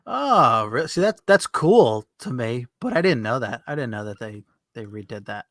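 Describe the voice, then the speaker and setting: A man's voice: medium pitch, big pitch swings, normal speed, fairly bright tone, dry recording, clean studio sound. A man co-hosting a podcast in casual chat, talking in an informal, conversational way. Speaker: podcast co-host.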